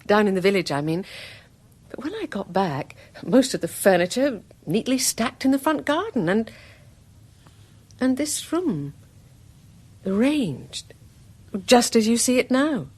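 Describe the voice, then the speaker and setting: Polite british housewife in a radio play, chatty n eager, husky voice, really up and down. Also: female voice, a little high, big pitch swings, unhurried, pauses often, darkish tone, full character voice. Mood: puzzled, explaining. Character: polite, chatty married newcomer woman, eager and puzzled. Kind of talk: radio drama acting.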